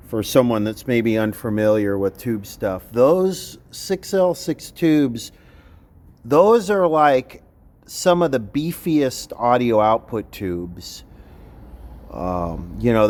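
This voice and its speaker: A man's voice: medium pitch, a little mellow. A man giving casual, unscripted commentary as he repairs electronics, talking bluntly and thinking aloud in fragments. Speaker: electronics repair video host.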